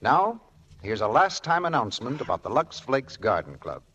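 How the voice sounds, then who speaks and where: A man working as a radio announcer, reading a scripted 1940s advertisement in a slightly husky voice. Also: mid-range voice, huge pitch swings, normal speed, a bit bright, slight background noise. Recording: muffled old radio recording.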